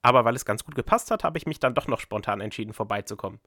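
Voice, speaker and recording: A man's voice: medium pitch, animated, rapid-fire, pushed and bright, no echo, studio quality. A man voicing an eager, chatty young woman traveler in a read-aloud story. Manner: eager, rambling.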